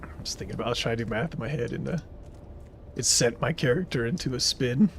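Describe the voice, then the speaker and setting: A man's voice: medium pitch, fast talker, background noise. Chatty thirties man streaming a game. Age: 30s. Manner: rambling, chatty, reads and answers viewer chat. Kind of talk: casual live-stream chat while gaming.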